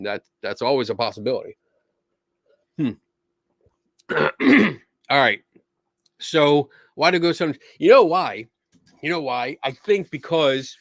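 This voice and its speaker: A man hosting a live stream, answering viewer questions in a rambling, casual way with many fillers, and his voice is going hoarse. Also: mid-range voice, fairly bright tone, no room sound. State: losing his voice, clearing throat.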